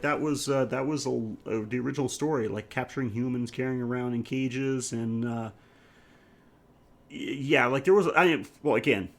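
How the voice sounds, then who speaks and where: A man with a mid-pitched voice talks casually as a podcast co-host. He gives his movie opinions haltingly, searching for words and swearing freely. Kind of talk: casual chat, movie review.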